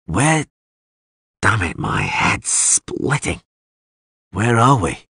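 A man acting out a battered, impatient monster hunter for a fantasy audiobook. He is blunt and foul-mouthed, with a husky edge and an animated, swinging pitch. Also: slow, dry recording, clean studio sound, exaggerated voice. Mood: groggy, alarmed. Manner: blunt, cursing, barking questions. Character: gruff male monster hunter, groggy, impatient, cursing.

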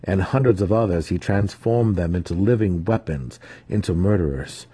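A man reads fantasy fiction as a dramatic narrator. His voice is deep and dark, with a husky grain running through it. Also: moderate pace, no room sound. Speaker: audiobook narrator.

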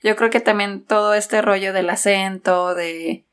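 Female podcast co-host, mid-pitched, informal and loosely phrased review chat. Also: fairly even intonation, unhurried, fairly bright tone, clear, resonant tone. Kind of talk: casual conversational film review.

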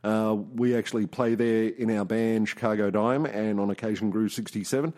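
A man's voice: on the low side, steady tone, moderate pace, darkish tone. A middle-aged Australian man co-hosting a podcast, chatting in a jokey, rambling way and repeating himself. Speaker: podcast co-host. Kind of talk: casual chat.

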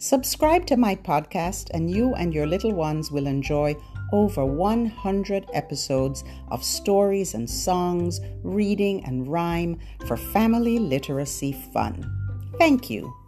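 A woman's voice: on the low side, animated, a little slow, mellow, faint music behind. A woman hosting a children's program, speaking in simple phrases meant for small children. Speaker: host of a children's literacy podcast. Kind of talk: sing-along children's program narration with songs.

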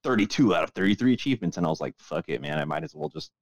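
Man, deep low voice, casual podcast chat, profane, hedged opinions, close dry sound. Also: sing-song, racing, gravelly, no room sound. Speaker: podcast host.

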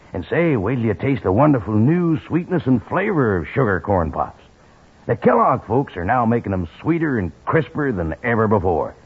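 A man's voice: mid-range voice, breathy edge. An American man doing a folksy, enthusiastic 1950s radio sponsor announcement in chummy cowboy patter. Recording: tinny old radio broadcast.

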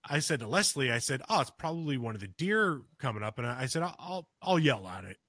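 A man with a bright, forceful voice recounts a story on a podcast in a loose, rambling way, full of restarts and "you know." The sound is close and dry. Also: medium pitch, very animated, fast talker, no echo. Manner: gets louder and more urgent as the story builds. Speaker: podcast co-host. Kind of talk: casual storytelling of a personal encounter.

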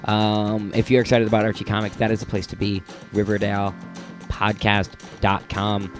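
Slightly low-voiced American man, podcast host. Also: completely flat delivery, normal speed, soft background music. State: rough voice, under the weather. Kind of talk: casual podcast outro talk.

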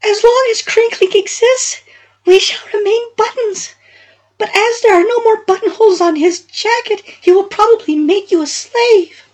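A man voicing a tiny, doleful enchanted mouse with a squeaky voice in a storybook. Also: normal speed, low-quality recording. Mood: mournful.

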